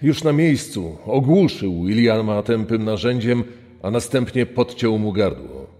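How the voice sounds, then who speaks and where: A man's voice: fairly low pitch, big pitch swings, a little slow, warm tone. A man narrating a scripted true-crime story, his voice trailing a long reverb.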